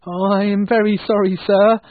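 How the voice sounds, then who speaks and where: A man's voice: high voice, level tone, a little slow, no echo, voice pushed up. A man voicing a timid, frightened clerk who pleads and falters, in storybook style. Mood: fearful pleading. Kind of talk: storybook character acting. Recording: thin phone-like band-limited sound.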